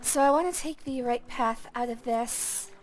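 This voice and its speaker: A young woman with a high voice gives relaxed commentary over a video game. She thinks aloud and pokes fun at herself, and faint game music plays underneath. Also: moderate pace, low-quality recording. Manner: chatty, self-deprecating, thinks aloud. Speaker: gaming streamer. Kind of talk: casual gameplay commentary.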